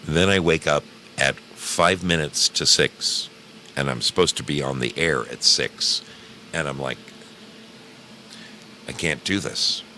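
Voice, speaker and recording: A man hosting a radio show, talking casually to listeners in a deep, gravelly voice with theatrical, drawn-out emphasis. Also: sharp tone, slight background noise.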